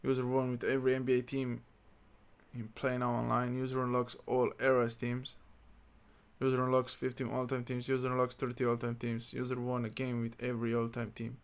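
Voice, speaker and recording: A man chats through his gaming livestream in a relaxed, muttering way, reading menus half to himself. His voice is gravelly and fairly monotone, with a mid pitch. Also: a little fast. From Slovenia. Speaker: streamer. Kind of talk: casual gaming livestream chat.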